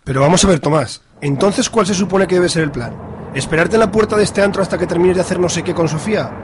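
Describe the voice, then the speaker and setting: A man's voice: high voice, fast, noisy background, close to an everyday voice. An actor playing an impatient, snobbish middle-aged father in an amateur radio drama. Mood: grumbling. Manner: grumbling, impatient.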